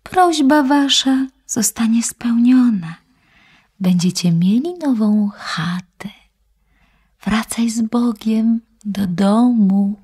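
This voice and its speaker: An actress voicing a small, polite magical golden fish with a thin, whispering voice. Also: female voice, a little high, takes it slowly, darkish tone, breathy edge, exaggerated voice. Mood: gentle.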